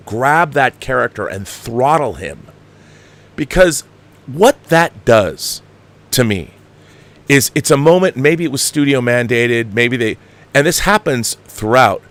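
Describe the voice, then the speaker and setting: A man giving opinionated, conversational film commentary as a show host, emphatic and exasperated, repeating himself for emphasis. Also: mid-range voice.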